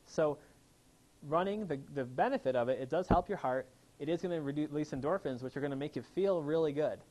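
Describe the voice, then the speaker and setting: A man's voice: fairly high pitch, fairly quick, darkish tone, poor audio. Upbeat man giving a seminar talk. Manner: enthusiastic, asks the audience questions. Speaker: chiropractor giving a health talk. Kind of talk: live seminar talk to an audience. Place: room with a live audience.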